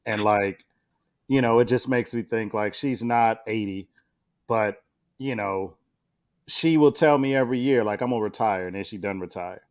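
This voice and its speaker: A man co-hosting a podcast, talking in a laid-back, slangy way with lots of pauses. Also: fairly low pitch. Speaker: podcast co-host. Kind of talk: casual podcast discussion.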